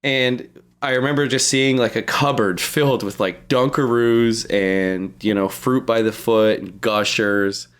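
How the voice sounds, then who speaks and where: A man's voice: medium pitch, steady tone, unhurried, fairly bright tone, clear, resonant tone. Crude, casual man in his early thirties. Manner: crude, heavy swearing, slangy. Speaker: podcast co-host. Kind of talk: casual comedic chat, telling anecdotes.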